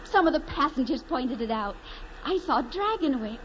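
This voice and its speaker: A woman's voice: high voice, expressive, moderate pace, a bit bright, a little hiss or hum. An actress playing a naive, eager young farm woman, earnest and wistful, in dramatic 1940s radio style. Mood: thrilled. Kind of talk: 1940s radio acting, dramatic first-person narration. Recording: muffled 1940s radio recording.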